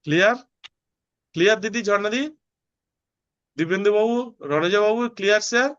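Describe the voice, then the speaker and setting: High-voiced man teaching, dull recording. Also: normal speed, fairly bright tone. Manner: emphatic, repeats phrases, checks understanding. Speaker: tarot course teacher. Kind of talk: online lesson with worked examples. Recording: dull lo-fi sound.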